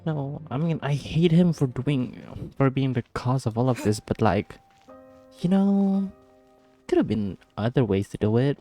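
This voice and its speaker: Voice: medium pitch, animated, unhurried, mellow, soft background music. A gamer giving casual playthrough commentary, reacting in a slangy, exclamatory way with gasps. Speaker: gaming video creator.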